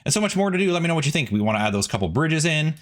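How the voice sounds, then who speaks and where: A man's voice: on the high side, sing-song, rapid-fire. A man giving casual, chatty gameplay commentary, rambling in asides to viewers as a video host.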